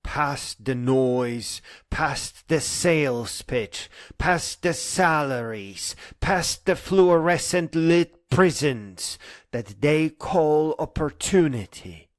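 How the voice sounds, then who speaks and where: A man delivering a dramatic spoken-word monologue in an earnest, emphatic manner that moves between hushed and insistent phrases. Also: on the high side, expressive, very slow, dry recording, clean studio sound.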